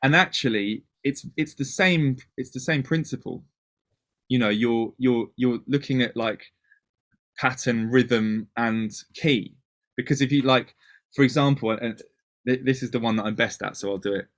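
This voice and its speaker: A man from Wales in his thirties talks about dance records as an interview guest. He speaks at a middling pitch in fast, rambling bursts and often restarts his sentences. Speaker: DJ and producer, guest. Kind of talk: casual interview chat.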